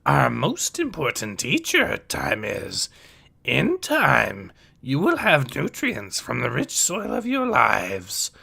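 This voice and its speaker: A small, ancient alien sage played by a man teaches with solemn patience in a comic-book read-aloud. The voice is croaky and gravelly, the delivery slow, with backwards phrasing, thoughtful hums and wide swings in pitch. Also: wildly swooping pitch, sharp tone, heavily acted. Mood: solemn, teacherly. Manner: inverted word order, deliberate pauses, musing hums.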